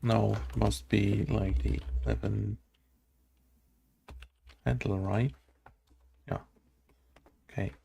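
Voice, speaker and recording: A man's voice: lowish voice, steady tone, takes it slowly, creaky, long pauses, warm tone, a little hiss or hum. A man thinking aloud as he writes game code, hesitant and muttering, full of fillers and questions to himself. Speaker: game developer coding on stream. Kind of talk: thinking aloud while coding. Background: keyboard typing and clicking.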